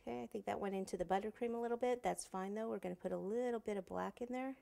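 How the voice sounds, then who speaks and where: Woman cake decorating teacher, gravelly edge, mid pitch, chatty, kitchen lapel mic. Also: quick pace, warm tone. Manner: chatty, thinks aloud while working. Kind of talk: casual hands-on tutorial. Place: home kitchen, indoors.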